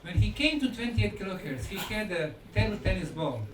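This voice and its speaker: A high-voiced man lectures with a very lively, swooping pitch. He speaks in deliberate short phrases and pauses for effect, heard from a distance in an echoing room. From Bosnia. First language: Bosnian. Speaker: lecturer and researcher. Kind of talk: conference lecture. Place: indoor lecture room at a conference. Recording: echoey room, distant mic, faint noise.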